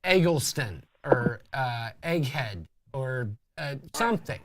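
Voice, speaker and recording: A man's voice: mid-range voice, slow pace, noisy background. A man in his fifties hosting a casual live show, reading out viewer comments and bantering in a teasing way. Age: 50s. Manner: animated, teasing.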